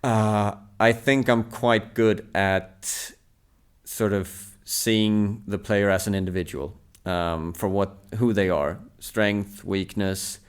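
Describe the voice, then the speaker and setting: Swedish man in his thirties, native swedish speaker, low voice, smooth and resonant tone, thoughtful and measured, hesitant fillers. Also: fairly even intonation. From Sweden. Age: 30s. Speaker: national team table tennis coach, podcast guest. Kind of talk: reflective casual conversation.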